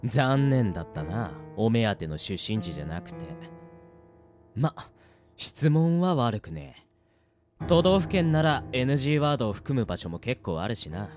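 A man acting in an audio drama as a cocky veteran death-game player who teases his rivals in rough, casual speech. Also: medium pitch, very animated, normal speed, over music, close to an everyday voice. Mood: mocking, amused.

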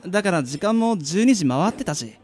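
A man's voice: high-pitched, expressive, moderate pace, darkish tone. A man playing a mild, modest young working man in a radio drama, in a hesitant, polite way. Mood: casual, modest. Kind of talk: amateur radio drama acting and narration.

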